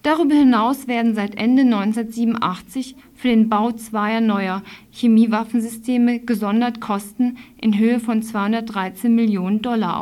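Higher-pitched woman reading radio news. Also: a little mellow. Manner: formal, reading from script with occasional slips. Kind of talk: scripted news report, read aloud.

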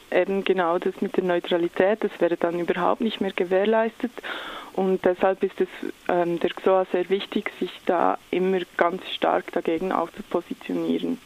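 A woman's voice: on the low side, fairly even intonation, moderate pace, a little mellow, dull audio. A woman with a Swiss German accent, speaking as an activist spokesperson in a radio interview, somewhat hesitant as she searches for words. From Switzerland.

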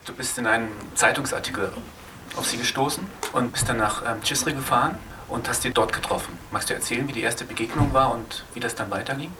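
A man's voice: medium pitch, brisk. A man moderating a film Q&A, asking spontaneous questions in tentative, searching phrases. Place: film festival screening venue. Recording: distant, noisy live event recording.

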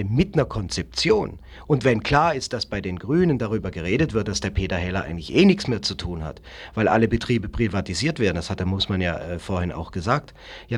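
A man's voice: lowish voice, husky. A man in a spontaneous political discussion, speaking in an opinionated, emphatic way and often tagging statements with a questioning word.

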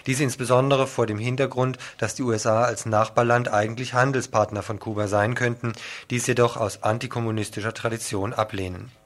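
A man's voice: mid-range voice, fairly even intonation, fairly quick. A man presenting scripted news on the radio, reading out headlines and reports as the host.

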